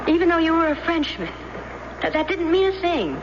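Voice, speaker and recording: A woman's voice: extremely high pitch, sing-song, brisk. An actress playing a giddy, lovestruck young German woman in a 1970s radio drama, gushing and breathless. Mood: romantic, confiding. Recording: dull, very noisy old radio recording.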